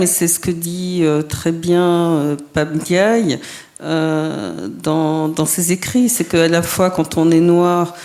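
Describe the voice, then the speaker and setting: A woman from France, a filmmaker and writer, answering a panel's questions in a thoughtful, deliberate way with frequent hesitations. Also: on the low side, mellow.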